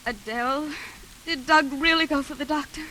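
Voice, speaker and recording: A woman's voice: sits high, normal speed, bright, forceful tone, low-quality recording. An actress playing an anxious, insistent mother in a 1940s radio drama, speaking with earnest, precise, pleading delivery. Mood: worried, coaxing. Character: anxious, insistent mother and sister, recalling horror.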